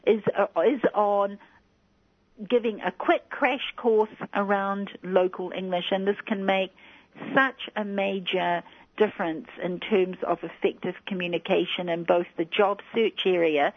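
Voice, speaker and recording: Measured New woman on lo-fi radio. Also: medium pitch, steady tone. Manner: measured and explanatory, often saying "you know". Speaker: guest speaking on migrant job-seeking. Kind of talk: radio talk-show conversation. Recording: dull lo-fi radio recording.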